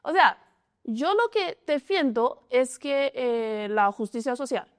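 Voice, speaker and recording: A woman's voice: high-pitched, animated, takes it slowly. A woman, answering interview questions in a hesitant way, often restarting and filling with "o sea". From China. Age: 40s. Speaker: statistician and interviewee.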